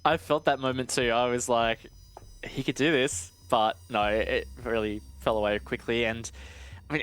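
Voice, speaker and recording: A man's voice: mid-range voice, a bit bright. A man giving analytical live esports commentary in a conversational way with casual asides.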